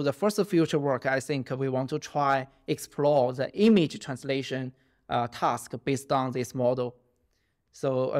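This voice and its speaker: A man in his thirties whose first language is Chinese answers questions over a video call. He talks haltingly, with many fillers and restarts. His voice sits at an ordinary pitch for a man. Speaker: guest researcher. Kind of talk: interview answers. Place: home office.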